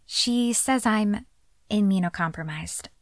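A woman playing a frail, sheltered teenage girl, excitable and wistful, in an audio drama. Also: medium pitch, slow pace, no room sound, clean studio sound, natural voice. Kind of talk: audio fiction drama acting.

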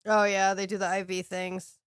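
A woman's voice: medium pitch, little pitch movement, normal speed, dry recording. A woman in her thirties co-hosting a podcast, talking bluntly with plenty of swearing. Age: 30s. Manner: blunt, profane, matter-of-fact. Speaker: podcast co-host. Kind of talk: casual reality-TV recap chat.

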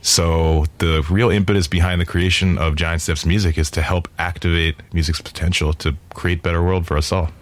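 A man's voice: sits low, steady tone, normal speed, hardly any pauses. A man, founder of a music nonprofit, explaining his mission at length in an interview, earnest and expansive with many fillers. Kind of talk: interview conversation.

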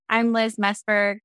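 A woman in her late thirties from the Bay Area speaks upbeat and easy as an interview guest. Her voice is smooth and clean, set slightly low, and recorded dry and close. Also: fairly bright tone, dry recording. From Bay Area, California. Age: 30s. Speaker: guest, donor-conceived sibling. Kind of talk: casual conversational interview.

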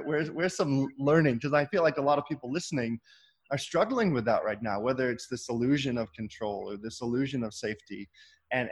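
Male host, medium pitch, affirming, searching, repeats words mid-question. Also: breathy edge. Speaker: podcast host. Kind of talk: interview questions.

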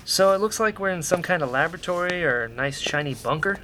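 A woman's voice: lowish voice, lively intonation, a little fast, noisy background, lightly acted. An actress playing an impulsive, apologetic young woman rebel in a sci-fi radio drama, with a conversational, hesitant delivery. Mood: wry, light. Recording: loud music under the dialogue. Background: loud music.

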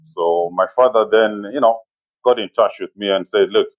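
A man in his forties with a Zimbabwean accent, speaking as an interview guest in a measured, halting way and often saying "you know". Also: fairly low pitch, dry recording, phone-quality sound. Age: 40s. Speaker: interview guest, safari business owner. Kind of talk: conversational interview, personal recollection.

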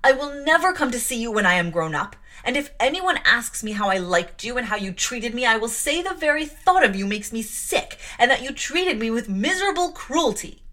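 A woman's voice: a little high, sing-song, normal speed, sharp tone, heavily acted. Woman voicing a furious defiant young girl. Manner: impassioned, accusing, raised voice.

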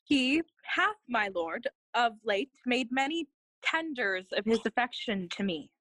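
A woman playing a dutiful, earnest young noblewoman in a classical Shakespeare audio play, speaking formal verse in measured phrases. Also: a little high, big pitch swings, a bit bright, dry recording, exaggerated voice, poor audio. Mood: meek, earnest.

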